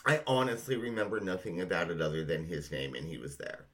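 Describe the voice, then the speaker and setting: A man's voice: deep voice, quick pace. A middle-aged man co-hosting a podcast, reminiscing in casual chat with an informal, emphatic, sweary manner. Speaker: podcast co-host.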